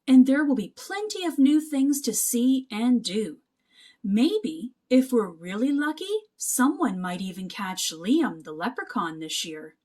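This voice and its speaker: A woman's voice: on the high side, big pitch swings, a little slow, darkish tone. A woman voicing a friendly young male mouse in a storybook, cheerfully welcoming and explaining things. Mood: excited.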